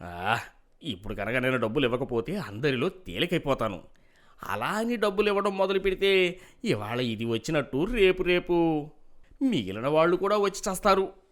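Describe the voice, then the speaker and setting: A man's voice: a little high, animated, normal speed, fairly bright tone. A man playing a hypocritical older village president in a dramatized moral story, with a persuasive, self-justifying way of talking. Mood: scheming grumble.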